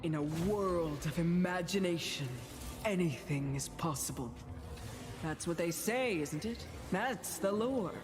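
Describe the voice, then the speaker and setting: A woman playing a mysterious, wry storyteller in a video game, narrating cautionary fables in a measured, theatrical way. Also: lowish voice, animated, music in the background. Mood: brooding, cautionary. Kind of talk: dramatic scripted game narration.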